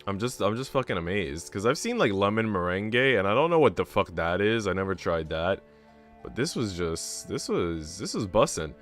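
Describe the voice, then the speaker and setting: A man's voice: on the low side, very animated, normal speed. A man livestreaming in casual chat, talking in a slangy, profane, bro-ish way. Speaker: streamer. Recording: music behind the voice.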